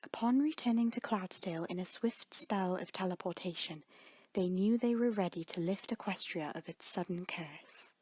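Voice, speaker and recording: A woman's voice: on the low side, normal speed, a little mellow, slightly rough, no room sound. A woman reading a dramatic scripted fantasy story as the narrator. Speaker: fan fiction narrator. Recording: music under the voice. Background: background music.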